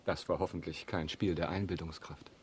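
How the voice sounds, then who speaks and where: An actor in a German radio drama playing a suave, insinuating count who is politely and deliberately accusing. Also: male voice, sits low, fairly even intonation, a little mellow, slightly rough. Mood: ominous, deliberate. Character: suave, insinuating male count hosting guests, coolly accusing.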